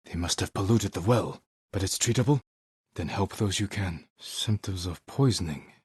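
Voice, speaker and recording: An actor playing a stoic, honorable samurai warrior in a video game, speaking in a measured, formal and grave way. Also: male voice, sits low, slightly rough, dry recording, clean studio sound. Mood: measured, resolute. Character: stoic, honorable samurai warrior, adult man, solemn and protective. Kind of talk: dramatic video game acting.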